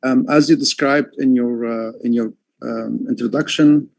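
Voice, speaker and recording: Man, from Egypt, artist on a livestream call, hesitant with many fillers, gravelly, slow, dry sound. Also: mid-range voice, steady tone, a little mellow, dry recording. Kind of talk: livestream talk. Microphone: headphones on a call.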